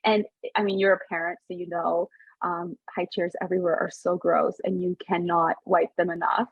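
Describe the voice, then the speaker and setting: A woman's voice: lowish voice, little pitch movement, moderate pace, slightly rough, no echo, highs cut off. A woman in her thirties, born in Sri Lanka and raised in Canada, chatting casually as a podcast guest, with lots of fillers and restarts. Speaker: podcast guest, business founder. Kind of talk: casual interview chat.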